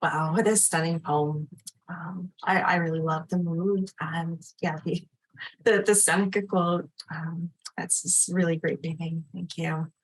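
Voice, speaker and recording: Female host, raspy low-leaning voice, unhurried, hesitant, appreciative. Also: fairly even intonation, rough recording. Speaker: host introducing readers. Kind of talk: informal event hosting.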